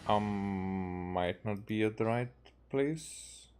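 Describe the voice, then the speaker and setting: A man from Hungary with a Hungarian accent, a native Hungarian speaker, giving casual, halting game commentary as he thinks aloud. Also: fairly low pitch, darkish tone, clear, resonant tone, soft background music. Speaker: game streamer.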